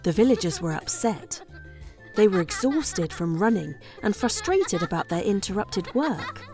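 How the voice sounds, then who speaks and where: A woman narrating a scripted children's bedtime story with expressive, deliberate phrasing. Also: mid-range voice, faint music behind.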